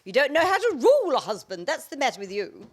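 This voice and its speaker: A woman's voice: high-pitched, huge pitch swings, fast, heavily acted. Imperious woman playing a battle-axe mother-in-law, wildly swooping. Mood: scornful, wrathful. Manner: imperious, scornful. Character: domineering, wrathful older mother-in-law dragon. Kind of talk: radio play acting.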